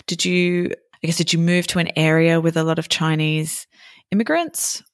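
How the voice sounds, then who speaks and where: A woman's voice: sits low, steady tone, normal speed, no room sound. Curious woman interviewer, dry sound. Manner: curious, hesitant questions. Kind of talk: casual interview questions.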